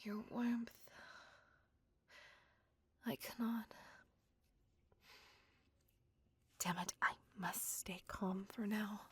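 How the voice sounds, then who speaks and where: A woman voicing a refined, possessive vampire in an intimate ASMR roleplay, with a breathy whisper and formal, old-fashioned phrasing. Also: mid-range voice, steady tone, takes it slowly. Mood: hungry, restrained. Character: refined, possessive, smitten female vampire courting a human.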